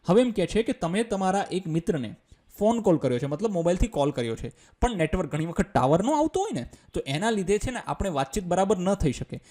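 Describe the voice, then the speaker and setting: A male teacher with a Gujarati accent speaks in a slightly high, softly mellow voice. He reads out English lines and then explains them simply. His delivery is colloquial and step by step, with questions he answers himself. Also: big pitch swings, brisk.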